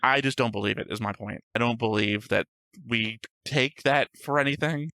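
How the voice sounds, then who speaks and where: A man's voice: mid-range voice, normal speed, bright, forceful tone, dry recording. A man co-hosting an anime reaction show, rambling and arguing his points while searching for words. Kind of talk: casual discussion.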